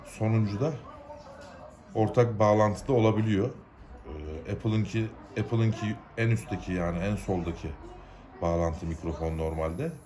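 A man's voice: lowish voice, fairly even intonation, moderate pace, crowd noise. A man from Turkey gives an informal, unscripted how-to, explaining step by step in plain, colloquial words. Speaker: hobbyist giving a DIY repair tutorial.

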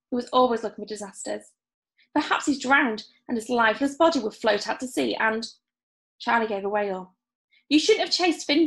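Young woman, children's story reading, raised pitch, noticeable vocal fry. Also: fairly bright tone, low-quality recording. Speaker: story reader. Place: indoors in a home room.

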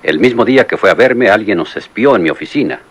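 An earnest police lieutenant with a low, husky voice argues his case with lively, rising inflection. It is vintage radio drama acting. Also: fast. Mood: insistent. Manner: earnest, insistent.

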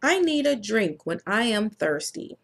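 An earnest little boy explains, in a slow and simple picture-book voice, what he needs and wants, as a woman reads him aloud. The delivery is unhurried and a bit gravelly at the edges. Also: mid-range voice, sing-song.

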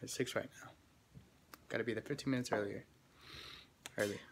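A man's voice: lowish voice, fairly even intonation, a little fast, a little hiss or hum. A young man with a cold, coughing now and then, chatting casually and joking on a handheld phone vlog at home and in a car. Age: young adult. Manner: joking, laid-back, laughs often. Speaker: vlogger. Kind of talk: casual couple vlog chat. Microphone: handheld phone camera.

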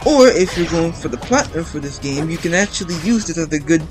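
एक किशोर लड़का, जो गेम खेलते हुए बिखरी, बातूनी कमेंट्री करता है, बार-बार "um" कहकर वाक्य दोबारा शुरू करता है, पीछे गेम का संगीत साफ़ बजता है।. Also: male voice, a little high, expressive, fast talker. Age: teens. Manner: rambling, chatty, many restarts and ums. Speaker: gameplay commentator. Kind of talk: casual let's-play commentary. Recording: game music playing underneath.

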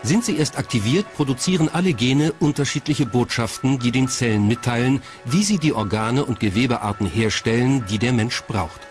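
A man's voice: medium pitch, moderate pace, few pauses. A man reading scripted narration as the narrator of a documentary. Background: faint music.

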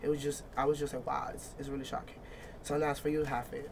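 A man's voice: mid-range voice, flat delivery, fast, vocal fry, background noise. A young man hosting a studio talk show, talking enthusiastically and often repeating himself. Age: young adult. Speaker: host.

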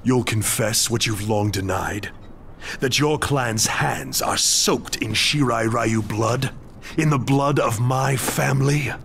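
An actor playing a vengeful ninja clan leader in a video game, terse, formal and cold. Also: male voice, medium pitch, slow, vocal fry, slight background noise. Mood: bitter, accusing. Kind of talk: cinematic video game acting. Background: loud cinematic game music.

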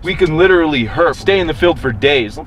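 A man in his thirties hosting a casual vlog, talking to a handheld camera outdoors and then from inside a pickup truck, joking and rambling excitedly. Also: a little high, a little fast, lo-fi sound. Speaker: vlogger, reptile-hunting channel host. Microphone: handheld camera at arm's length. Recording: noisy outdoor and road sound.

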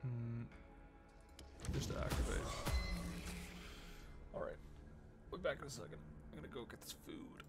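A man's voice: mid-range voice, moderate pace, gravelly, long pauses. A man, giving casual game commentary and musing over choices in short phrases. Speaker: game streamer. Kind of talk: casual let's play commentary, thinking aloud. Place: indoor room at home. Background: game music.

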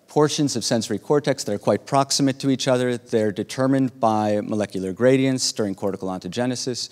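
A man's voice: lowish voice, a little mellow. Man lecturing in a reverberant hall. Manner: measured, with frequent "uh" pauses while explaining slides. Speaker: keynote speaker. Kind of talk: academic conference talk.

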